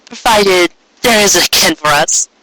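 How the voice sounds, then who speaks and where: A man voicing a kind, understanding adult female pony with a gentle, reasonable manner in a story reading. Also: high voice, unhurried, no room sound, pitched above the performer's own voice, rough recording. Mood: forgiving.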